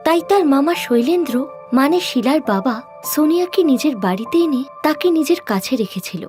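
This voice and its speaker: High-pitched woman narrating a story. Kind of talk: scripted cartoon story narration. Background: faint music.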